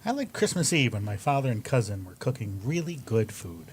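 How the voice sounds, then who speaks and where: Very animated man, casual and conversational. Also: medium pitch, brisk. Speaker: guest performer in an audio play. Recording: faint background noise.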